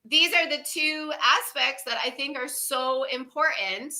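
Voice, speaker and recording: A woman's voice: high voice, a little slow, pushed and bright. A woman in her fifties co-hosting a wellness livestream, talking in a casual, effusive way and laughing often. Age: 50s.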